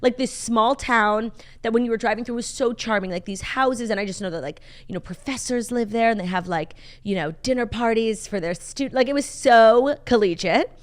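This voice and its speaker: A woman co-hosting a casual morning talk show, excitable and gushing, with casual swearing. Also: a little high, moderate pace, few pauses. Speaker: podcast co-host. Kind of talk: casual chat.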